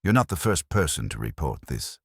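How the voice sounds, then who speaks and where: A man voicing a somber highway patrol officer who speaks quietly and gravely in a horror story. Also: big pitch swings, moderate pace, no echo, clean studio sound, heavily acted.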